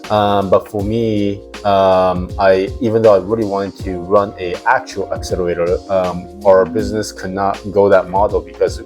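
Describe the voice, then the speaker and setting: A man's voice: low-pitched, little pitch movement, normal speed, hardly any pauses, a little mellow, faint music behind. A man who grew up in Japan with Japanese as his first language, a founder talking casually in an interview with many fillers and restarts. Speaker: founder and CEO, interview guest. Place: house in Palo Alto.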